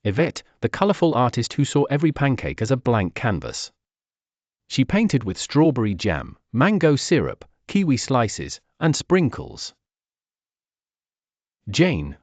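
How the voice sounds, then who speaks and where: A man reading a scripted children's story aloud in an animated, expressive storytelling manner. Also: medium pitch, unhurried, dry recording.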